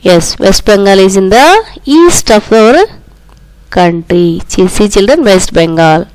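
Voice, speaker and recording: A woman's voice: medium pitch, lively intonation, takes it slowly, darkish tone, poor audio. A woman with a South Indian accent, a native Kannada speaker, teaching young schoolchildren in a repetitive, instructive way and often addressing them directly. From Karnataka, India. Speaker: primary school social studies teacher. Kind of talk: school lesson for children.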